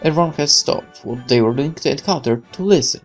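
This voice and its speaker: A man's voice: fairly high pitch, lively intonation, fairly quick. A man reading a visual novel aloud, stumbling now and then and dropping in muttered asides. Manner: stumbles over read lines, mutters asides. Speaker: gaming video maker. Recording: music under the voice. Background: game music.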